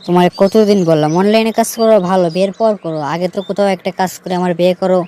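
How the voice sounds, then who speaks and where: A woman's voice: medium pitch, very fast, dark tone, natural voice. A young woman playing a nagging, impatient girlfriend who scolds in a comedy cartoon.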